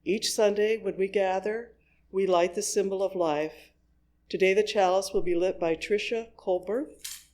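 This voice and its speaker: A worship leader reading a scripted service in a measured, deliberate way with pauses between phrases. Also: medium pitch, fairly even intonation, darkish tone.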